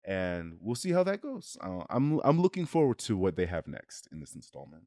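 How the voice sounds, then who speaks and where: A man with a fairly deep voice hosts a casual review conversation. His delivery is informal and hedging, as if thinking opinions through aloud. The sound is dry, with very little room in it. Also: huge pitch swings, moderate pace, a little mellow, dry recording. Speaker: video review host. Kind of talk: casual movie review chat.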